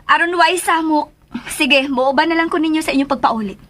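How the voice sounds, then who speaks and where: A woman's voice: high-pitched, fairly quick, fairly bright tone. An actress playing a bossy, peacekeeping mother in a Filipino radio drama, speaking in a firm, directing manner. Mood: placating, directing.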